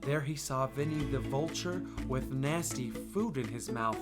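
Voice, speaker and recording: A woman hosting storytime, reading a children's picture book aloud in a playful, expressive way that speaks straight to listeners. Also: extremely low pitch, a little slow, over loud music. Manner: playful, animated, addresses listeners directly. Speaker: storytime host and book reader.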